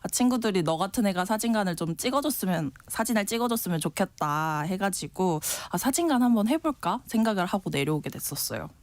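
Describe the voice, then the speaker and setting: A woman's voice: medium pitch, lively intonation, a little fast, hardly any pauses, no room sound. Young woman, friendly, laughs easily. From South Korea, Wando. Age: 20s. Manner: friendly, laughs often while talking. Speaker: interview guest, village head. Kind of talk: casual interview answers. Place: radio studio.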